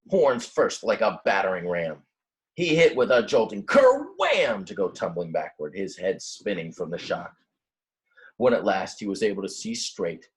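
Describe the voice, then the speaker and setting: A man reading a children's book aloud expressively, like a teacher, with simple, casual explanations along the way. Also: on the low side. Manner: explains words simply in plain asides. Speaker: read-aloud storyteller, teacher.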